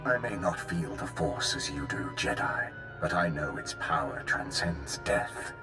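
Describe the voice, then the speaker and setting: A fanatical dark-empire soldier in a video game, deep and solemn. His delivery swings with zeal, and the sound is roomy with music behind it. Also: animated, a little slow, full character voice. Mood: solemn devotion. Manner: solemn, zealous. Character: fanatical male cultist soldier devoted to a dark Emperor. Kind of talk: scripted video game acting.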